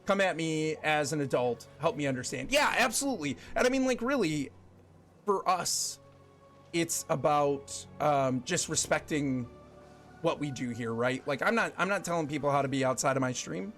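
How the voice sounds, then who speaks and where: A man's voice: a little high, very animated, fairly bright tone, faint music behind. A man in his forties live-streaming a game, chatting casually with viewers and laughing often. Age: 40s. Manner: chatty, rambling, quick to laugh. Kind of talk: casual live-stream chat while gaming.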